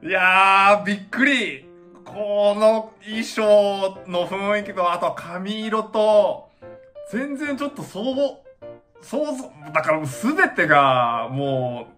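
Excitable young man with swooping pitch. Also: slow, soft background music. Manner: excitable, exclaiming in awe. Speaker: dance reaction channel host. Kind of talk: casual reaction commentary. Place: small indoor room at home.

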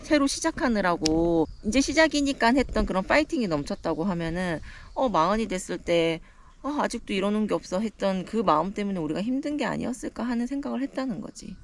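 A woman in her forties, talking slowly and thoughtfully with her husband during a mountain hike. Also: medium pitch, expressive, slight background noise. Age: 40s. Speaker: vlogger. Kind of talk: casual chat while hiking. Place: mountain trail outdoors.

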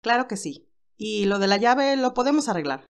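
A woman's voice: medium pitch, very animated, moderate pace, creaky. A woman voicing a loyal, anxious male assistant in an audiobook, formal and deferential.

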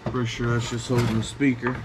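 A man's voice: medium pitch, monotone, fast talker. A man giving casual, hands-on how-to commentary in a plain-spoken, offhand way at a workbench. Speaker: scrapper demonstrating a teardown. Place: small cluttered indoor workshop at a bench. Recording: clear workshop tool and handling noise.

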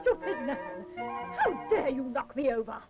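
A woman's voice: sits high, sing-song, normal speed. An actress playing a haughty, scolding female customer in a 1960s children's puppet show. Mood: outraged. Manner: indignant, scolding. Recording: muffled 1960s TV soundtrack with music.

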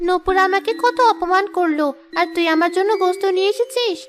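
Woman as little cartoon girl, extremely high voice, plaintive, sensitive, earnest, clear music behind. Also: brisk. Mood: surprised, grateful. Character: poor young girl, pleading, sensitive, earnest. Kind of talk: cartoon voice acting, moral story.

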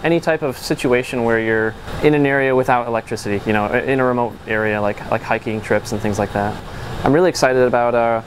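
A casual young man at a middle pitch who leans on fillers as he talks. Steady room noise sits behind his voice. He is recorded indoors in an office. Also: quick pace. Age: young adult. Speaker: chief technical officer. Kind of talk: interview answers.

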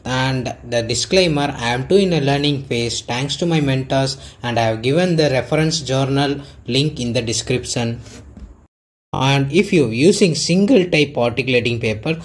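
Man, instructional voice-over, earnest, step-by-step, mid pitch. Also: moderate pace. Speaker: dentist teaching clinical technique.

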